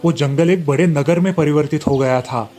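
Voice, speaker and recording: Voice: mid-range voice, moderate pace, dark tone, soft background music. A narrator reading a scripted moral story in storybook style.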